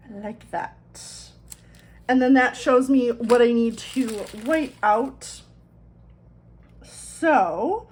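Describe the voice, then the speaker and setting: A woman's voice: a little high, darkish tone, slight background noise. A woman chatting casually as she decorates a planner, her voice a little thick from swollen tonsils, rambling in self-deprecating asides. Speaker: planner vlogger.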